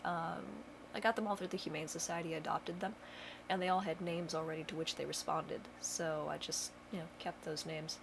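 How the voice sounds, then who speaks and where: A woman's voice: low voice, fairly even intonation, moderate pace, vocal fry, background noise. A young woman chatting casually to camera at home, rambling with lots of fillers and dry self-mocking humor. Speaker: vlogger. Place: home bedroom.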